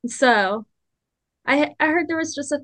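A young woman conducting an interview for a class, asking polite, careful questions with pauses. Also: high-pitched, animated, a bit bright, no echo. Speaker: interviewer, student.

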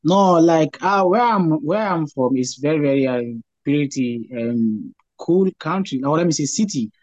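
A young man from Ghana with a Ghanaian accent, a graduate student answering interview questions over a video call, halting and full of fillers. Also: fairly high pitch, animated, darkish tone, no room sound, lo-fi sound. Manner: halting, repeats words, many "like" fillers.

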